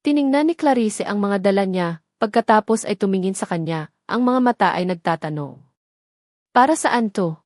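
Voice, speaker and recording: A woman's voice: medium pitch, animated, brisk, darkish tone, no echo, studio quality. A woman narrating a scripted, dramatic romance story as a storyteller.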